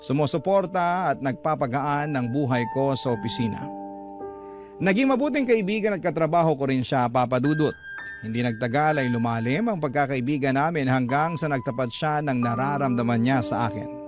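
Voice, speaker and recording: Earnest male letter narrator over music. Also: medium pitch. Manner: earnest storytelling, addresses the host. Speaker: letter reader. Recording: music bed under voice.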